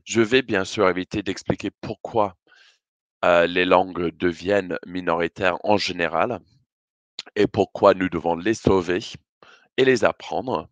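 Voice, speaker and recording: Very deep-voiced British man presenting. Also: a little slow, dry recording, muffled recording. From Britain. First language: English. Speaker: conference speaker. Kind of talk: prepared conference presentation.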